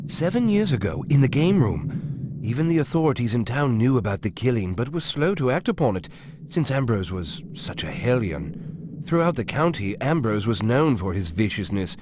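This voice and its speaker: Game role: actor as nervous manservant, mid-pitched, very animated, formal and confiding, clear background noise. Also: male voice, moderate pace, natural voice. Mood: confiding, grave. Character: nervous household servant, gossipy and deferential. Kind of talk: video game dialogue, storytelling.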